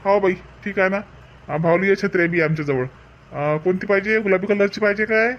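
A man's voice: high-pitched, a little fast, muffled recording, lightly acted. A man playing a chatty village umbrella seller in a comic cartoon, with folksy sales patter and a Varhadi Marathi accent. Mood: persuasive, friendly. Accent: Varhadi Marathi from Vidarbha.

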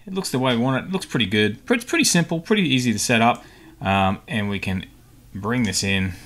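A man hosting a casual software tutorial, talking informally and a little hesitantly as he thinks aloud. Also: mid-range voice. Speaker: tutorial host. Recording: clicks and typing, faint background noise. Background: keyboard typing and mouse clicks.

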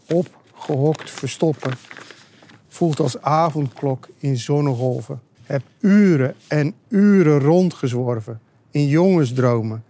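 A man reciting poetry as a poet, deliberately, line by line. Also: on the high side, dark tone.

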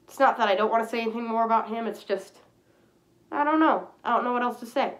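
A woman's voice: on the high side, quick pace, low-quality recording. Stuffy-nosed woman chatting on camera. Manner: rambling, chatty, frequent throat clearing. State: stuffy nose from a cold. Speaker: fursuit vlogger. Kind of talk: casual chat to camera. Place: small indoor room.

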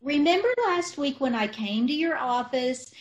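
A woman acting a scatterbrained, innocent-sounding wife in an old-time radio comedy, deadpan and unhurried, over a video call. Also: sits high. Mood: innocently evasive. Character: scatterbrained, artfully innocent wife, mangles sayings. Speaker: amateur radio troupe actor.

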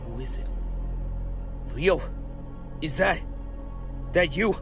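A man voicing a frightened, confused, blinded mutant creature in a motion comic, pleading haltingly. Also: on the high side, lively intonation, fairly bright tone. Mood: panicked, desperate. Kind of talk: scripted motion comic voice acting. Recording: music behind the voice.

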